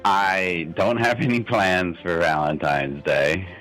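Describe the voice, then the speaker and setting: A man's voice: deep voice, steady tone, takes it slowly, a bit bright, faint music behind, low-quality recording. A man calling in to a radio show for a casual chat, laid-back and dryly joking.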